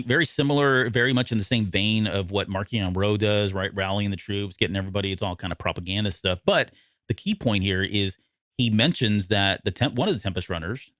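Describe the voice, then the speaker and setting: A man co-hosting a podcast, recapping a story in casual, halting conversation and often correcting himself. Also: fairly low pitch, a bit bright, no echo, clean studio sound. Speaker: podcast co-host. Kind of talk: casual discussion recapping an audio drama.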